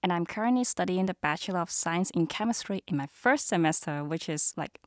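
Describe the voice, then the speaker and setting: A woman from Hong Kong whose first language is Cantonese speaks casually as a podcast guest, with fillers and frequent laughter. Her voice sits a little low. The recording is dry and close. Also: expressive, a little fast, no echo. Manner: chatty, laughs often, many fillers. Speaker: guest, student ambassador.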